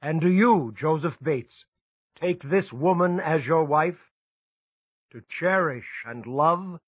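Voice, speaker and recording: Slow, husky solemn minister. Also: male voice, on the high side, no echo, muffled recording. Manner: solemn, formal, deliberate. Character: solemn minister officiating a period wedding. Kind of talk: ceremonial audio drama acting.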